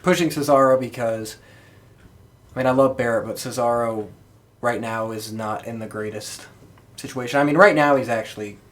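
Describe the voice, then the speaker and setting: A man with an average-pitched voice talks as a podcast co-host. His style is casual and conversational, like an informal discussion among fellow fans. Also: moderate pace, a bit bright.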